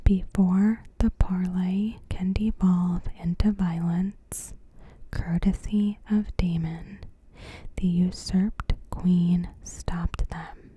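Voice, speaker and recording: A woman whispering softly and carefully in a breathy voice, narrating a scripted recap in ASMR style. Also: on the low side, a little hiss or hum, poor audio. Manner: soft, unhurried, careful. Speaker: ASMR creator.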